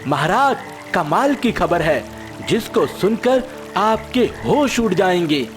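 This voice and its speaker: An actor voicing a loyal, eager frog messenger in a cartoon moral story, respectful and dramatic in manner. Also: male voice, sits high, big pitch swings, slow, full character voice. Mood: excited. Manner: respectful, dramatic tale-bearing. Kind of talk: animated Hindi moral story dialogue. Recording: soft music behind the voice.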